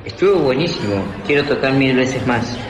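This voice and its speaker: A man's voice: mid-range voice, lively intonation, moderate pace, lightly acted. A teenage male student with a Rioplatense accent playing a cocky, joking young bandmate in an amateur school radio play. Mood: excited, teasing. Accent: Rioplatense Argentine. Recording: reverberant hall with clear ambient noise.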